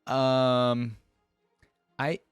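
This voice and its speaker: A man's voice: medium pitch, little pitch movement, drawn-out words, smooth, dry recording, studio quality, soft background music. A man hosting a reaction video, giving casual commentary and thinking aloud in a conversational way as he weighs his opinions.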